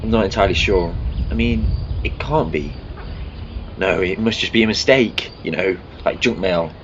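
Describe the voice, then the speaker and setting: A man playing a flustered, excitable alien time traveller in an amateur audio drama, stammering and quick with excuses. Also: sits low, dull audio, background noise, natural voice. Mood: uneasy, evasive.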